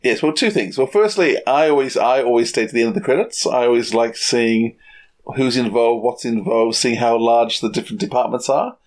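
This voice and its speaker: A man with a medium-pitched voice. He chats casually as a podcast co-host, trading banter. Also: brisk.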